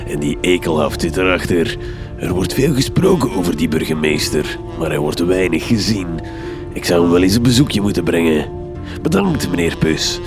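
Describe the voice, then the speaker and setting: Low-voiced, pompous Flemish detective. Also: male voice, lively intonation, music in the background. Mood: pompous deduction. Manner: pompous, theatrical, deliberate diction. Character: pompous, self-assured male detective in gothic comedy. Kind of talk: comic radio drama acting.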